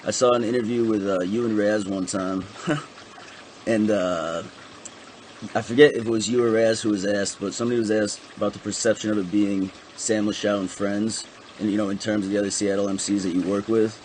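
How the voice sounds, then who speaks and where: A man's voice: lowish voice, fairly even intonation, moderate pace, darkish tone, slight background noise, poor audio. A man interviewing a guest, asking long questions with many ums and restarts.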